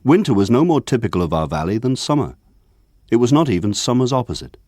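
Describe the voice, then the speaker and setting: An actor playing a grown man looking back on his village childhood, narrating in a measured, lyrical way. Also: medium pitch, sing-song, darkish tone, no echo, studio quality. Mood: wistful. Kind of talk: scripted literary narration for radio drama.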